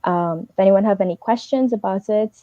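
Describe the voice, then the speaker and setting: Woman giving quick earnest advice. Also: mid-range voice, no room sound, dull audio. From Trinidad and Tobago. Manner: fast, earnest, advising directly. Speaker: English teaching assistant. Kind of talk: livestream advice talk.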